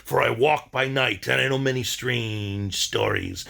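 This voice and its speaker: A man voicing a sinister, taunting narrator from old-time radio horror, speaking slowly and theatrically. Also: medium pitch, creaky, fairly bright tone. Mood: ominous. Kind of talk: 1940s radio drama imitation.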